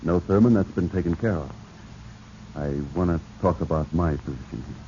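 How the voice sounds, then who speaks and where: Beleaguered husband played by a man, very low, dark voice, swinging intonation, earnest, old muffled radio. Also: normal speed, lightly acted. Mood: aggrieved, firm. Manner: earnest, intense, pleading. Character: devoted, aggrieved husband, pressured company treasurer. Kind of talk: 1940s radio drama acting. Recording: muffled, noisy 1940s radio recording.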